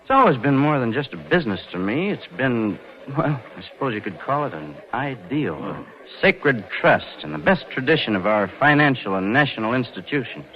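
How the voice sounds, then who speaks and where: An actor playing a cocky, scheming young bank swindler who narrates in a wry, slangy, confiding way in 1940s radio noir style. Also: male voice, mid-range voice, sing-song, moderate pace. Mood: earnest, ingratiating. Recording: muffled old radio recording, loud music.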